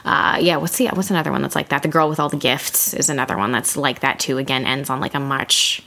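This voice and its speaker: A woman's voice: rumbling low, fairly even intonation, fast, creaky, sharp tone. A woman co-hosting a book podcast, chatting casually and expressively, explaining things at length with plenty of asides. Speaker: podcast co-host.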